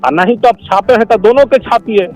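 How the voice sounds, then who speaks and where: High-pitched Bihari man arguing insistently. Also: a little fast. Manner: insistent, accusatory, repetitive. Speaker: monk, head of a monastery, complaining caller. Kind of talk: heated phone argument. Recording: phone call recording with music behind.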